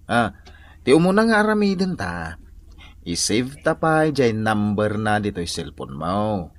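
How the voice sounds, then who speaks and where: A man's voice: fairly low pitch, sing-song, a little slow, no echo. A man acting in a comedic radio drama as a teasing, girl-chasing buddy, joshing, chuckling and exclaiming. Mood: mischievous. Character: teasing, girl-chasing buddy who loves to joke around.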